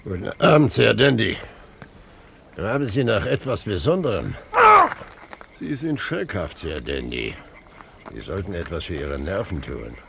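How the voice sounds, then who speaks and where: An actor playing a sly, menacing gangster in a 1950s German radio play, mock-polite and taunting. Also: male voice, deep voice, sing-song, measured pace, gravelly, long pauses, exaggerated voice. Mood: mock-polite menace. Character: sly, menacing male gangster in a slouch hat. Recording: very noisy, crackly 1950s radio recording.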